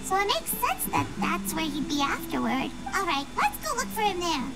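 A woman's voice: very animated, normal speed, loud music behind the voice, full character voice. A woman voicing a tiny childlike fairy companion in a video game, chirpy, curious and fussy. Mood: curious, chatty. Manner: squeaky, speaks of herself in third person. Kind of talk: scripted video game dialogue.

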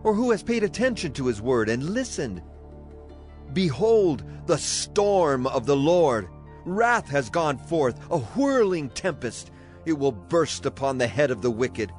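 A man's voice: fairly high pitch, big pitch swings, measured pace. Man solemnly reading scripture over music. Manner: solemn, declamatory. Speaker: Bible narrator. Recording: clear music behind the voice.

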